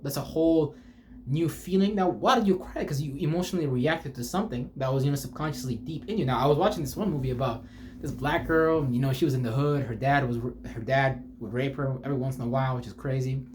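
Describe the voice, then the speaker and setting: A man's voice: mid-range voice, quick pace. Informal motivational man over background noise. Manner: informal, slangy and profane; emphatic, repetitive, with rhetorical questions. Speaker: motivational spiritual speaker. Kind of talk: rambling off-the-cuff motivational talk.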